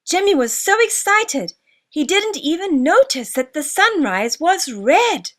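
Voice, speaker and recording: High-pitched, animated woman storyteller. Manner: expressive, deliberate storybook delivery with drawn-out words. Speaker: author narrating own story. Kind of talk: children's storytelling, scripted narration.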